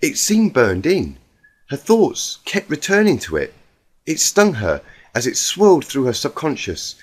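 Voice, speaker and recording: Male story narrator, medium pitch, animated and dramatic delivery. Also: unhurried. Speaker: fan fiction reader.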